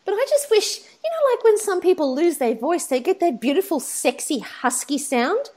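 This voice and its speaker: A woman co-hosting a casual podcast chat, her voice croaky and hoarse, playful and laughing. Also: extremely high pitch, sing-song, normal speed, darkish tone. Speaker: podcast co-host.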